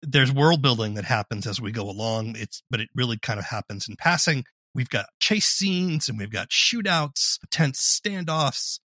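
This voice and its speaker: A man's voice: medium pitch, expressive, moderate pace, few pauses, pushed and bright, no room sound. A man hosting a podcast interview, summarizing and asking questions in a conversational way.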